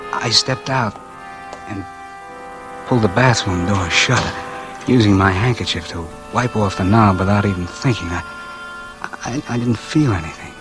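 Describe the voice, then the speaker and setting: An actor playing a bitter, numb man in a doomed crime story, in halting, brooding 1940s radio noir style. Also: lowish voice, lightly acted. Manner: halting, brooding first-person delivery. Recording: vintage radio drama, music underneath.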